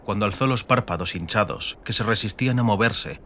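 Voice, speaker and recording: A man's voice: fairly low pitch, a little fast, sharp tone, a little hiss or hum. A man reading a scripted, dramatic fantasy audiobook narration.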